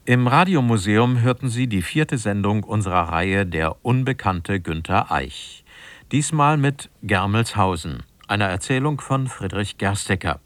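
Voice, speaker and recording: A man's voice: on the low side, animated, a little slow, no echo. A man working as a radio announcer, reading a scripted broadcast announcement in a studio.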